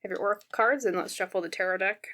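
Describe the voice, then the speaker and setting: A woman with a slightly scratchy voice giving a casual, conversational tarot reading to her viewers, explaining gently and often checking in. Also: mid-range voice, fast talker. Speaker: tarot reader, host.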